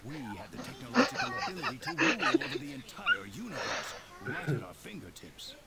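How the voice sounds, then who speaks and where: A man playing a grave planetary leader in a video game, speaking in a formal, measured, solemn way. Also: on the high side, huge pitch swings. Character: grave male leader of a high-tech planet, explaining past war. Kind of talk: scripted game exposition. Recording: game audio under streamers' chatter.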